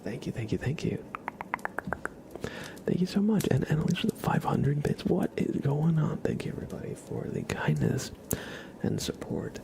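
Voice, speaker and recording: A man hosting an ASMR livestream, chatting casually to viewers in a soft, breathy, gentle whisper close to the microphone. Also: a little high, huge pitch swings, moderate pace, slight background noise.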